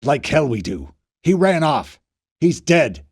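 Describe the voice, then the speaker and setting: A man voicing a furious, barking male army captain who shouts his orders. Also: on the high side, very animated, slow, creaky, husky, full character voice.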